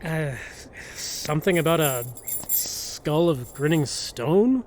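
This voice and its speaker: Slow-spoken, higher-voiced noble hero. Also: male voice, lively intonation, darkish tone, a little hiss or hum. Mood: pondering. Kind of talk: fantasy audio drama acting.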